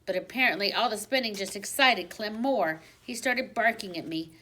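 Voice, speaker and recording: A woman reading a children's book aloud like a teacher, with expressive storybook narration and dramatic emphasis. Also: medium pitch, moderate pace, poor audio. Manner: dramatic emphasis on exciting moments.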